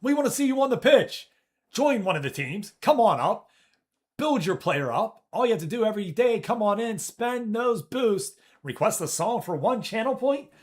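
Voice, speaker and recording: Casual, swearing man telling a work story. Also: normal speed. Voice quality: deep voice. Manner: animated storytelling, swears, reads chat aloud. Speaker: streamer. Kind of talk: casual chat with live chat. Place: office.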